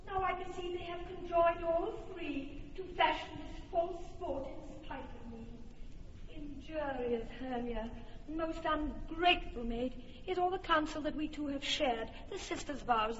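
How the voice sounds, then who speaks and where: A heartbroken young woman hurls reproaches in impassioned verse. Her voice is high. It echoes with a long reverb over faint noise on a dull, lo-fi recording. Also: unhurried, fairly bright tone. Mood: reproachful. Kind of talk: classic radio drama acting.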